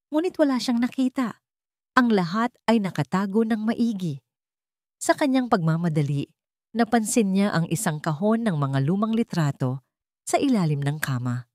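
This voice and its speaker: A woman's voice: medium pitch, a little mellow, no room sound, clean studio sound. A woman narrating a scripted dramatic romance story as an audio storyteller.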